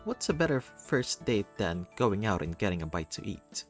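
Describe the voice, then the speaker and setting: Male narrator, low register, expressive first-person story reading with theatrical flair, faint music underneath. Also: normal speed. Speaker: reader of a visual novel.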